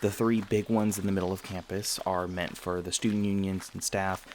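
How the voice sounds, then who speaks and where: A man's voice: low-pitched, flat delivery, normal speed, a little hiss or hum, lightly acted. A man playing a friendly, teasing vampire university student and tour guide in a casual, conversational ASMR roleplay. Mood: easygoing, informative. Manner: casual, conversational, gently joking. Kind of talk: ASMR roleplay acting, casual chat to listener.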